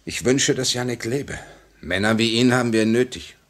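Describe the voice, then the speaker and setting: A man's voice: mid-range voice, normal speed, natural voice. An actor playing a blunt, skeptical, hard-headed male revolutionary in a 1950s German radio play, terse and insistent. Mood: firm, terse.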